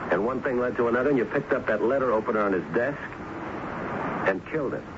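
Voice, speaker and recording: An actor playing a stubborn, needling police lieutenant in a radio drama, insistent and accusing. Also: male voice, mid-range voice, quick pace, close to an everyday voice. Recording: noisy, muffled old radio broadcast recording.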